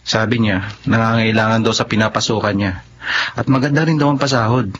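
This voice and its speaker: A man's voice: on the low side, steady tone, a little fast, noisy background, lightly acted. A man playing a devoted, earnest young husband and father in a Filipino radio drama. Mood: sober, resolved. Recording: muffled audio with music behind.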